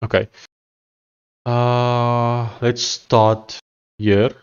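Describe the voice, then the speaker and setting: A man's voice: medium pitch, completely flat delivery, drawn-out words, smooth, dull audio. Hesitant man with a thick Afrikaans accent. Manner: thinking aloud, hesitant with many fillers and restarts. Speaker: live-streaming software developer. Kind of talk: casual live stream chat while coding.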